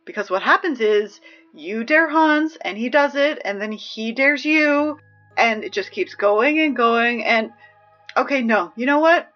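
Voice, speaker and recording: A flustered teenage girl gives casual school announcements in an audio drama. Her voice is high, and she rambles hesitantly and pleadingly. The sound is slightly muffled, with music underneath. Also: expressive, unhurried. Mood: exasperated. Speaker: morning announcements host.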